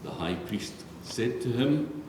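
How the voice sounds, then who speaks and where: Slow, low-voiced man in an echoey church. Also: lively intonation, a little mellow. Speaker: narrator. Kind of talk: solemn scripture reading. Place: large reverberant church. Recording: very echoey church, faint noise.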